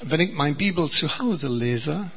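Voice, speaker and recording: An Englishman preaching a sermon in German, a bright voice with a breathy edge. He talks slowly and earnestly, repeating words and restarting phrases. The recording sounds a little dull. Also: on the high side, expressive. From England.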